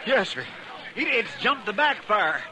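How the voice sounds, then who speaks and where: Heavy noise surrounds a high-voiced, folksy deputy in an old radio western. He is a loyal, deferential sidekick who frets as he talks. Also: sing-song, a little slow, crowd noise. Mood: worried, excitable. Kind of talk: 1950s radio western acting. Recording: very noisy old radio recording.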